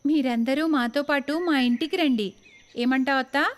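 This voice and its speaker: Woman voicing a high-pitched, kind young village woman. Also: normal speed, lightly acted. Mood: welcoming. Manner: polite, eager, welcoming. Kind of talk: animated moral-story dialogue.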